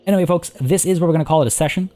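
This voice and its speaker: A man with a hoarse, tiring voice, chatting casually as he plays a zoo-building game and rambling through his thoughts. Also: fairly high pitch, very fast, dark tone, soft background music. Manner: rambling, chatty, many restarts and fillers. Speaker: let's play host. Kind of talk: casual gameplay commentary.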